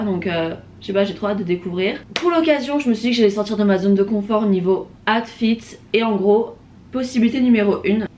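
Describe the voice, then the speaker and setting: A woman's voice: a little high, darkish tone. Young woman vlogging over noise. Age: young adult. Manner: chatty, slangy, exasperated emphasis, drops in English phrases. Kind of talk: casual vlog chat to camera. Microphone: camera or phone held while vlogging. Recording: clearly noisy everyday vlog audio.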